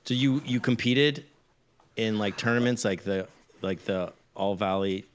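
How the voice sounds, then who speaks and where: A man hosting in casual chat, joking and teasing with quick pop-culture references. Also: on the low side, slight background noise. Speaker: host.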